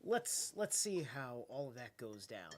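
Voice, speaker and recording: A man's voice: mid-range voice, sing-song, measured pace. A tired man with a stuffed-up nose, rambling loosely in casual late-night stream chat and swearing now and then. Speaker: live streamer.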